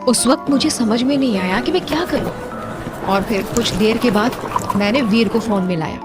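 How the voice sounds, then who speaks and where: A woman's voice: on the high side, normal speed, natural voice. A woman playing a young wife, earnest and a little defensive, in an audio story drama over music. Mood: earnest, recounting.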